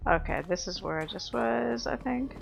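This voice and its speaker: A woman's voice: on the high side, animated, moderate pace, gravelly, faint music behind. A woman giving casual, informal let's play commentary, thinking aloud as she plays. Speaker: let's player.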